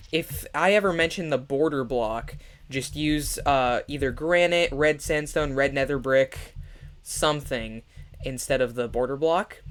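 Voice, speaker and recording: A young man with a stuffy, congested voice talks casually through a building tutorial, with lots of fillers and restarts. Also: on the high side. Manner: rambling, many fillers and restarts. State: congested, nasal. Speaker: Minecraft build tutorial creator. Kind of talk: casual gaming tutorial voice-over.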